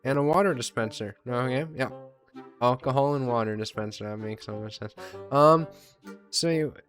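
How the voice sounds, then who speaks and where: A man's voice: mid-range voice, moderate pace, a bit bright. Male gameplay commentator, mid-range voice, casual and self-deprecating, rambling delivery with mild swearing, faint music behind. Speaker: gaming video host.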